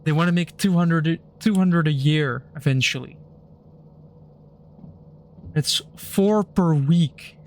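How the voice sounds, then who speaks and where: A young man on a stream, explaining technical points in a dry, deadpan way with puns. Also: a little high, slow, long pauses. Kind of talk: casual chat while gaming.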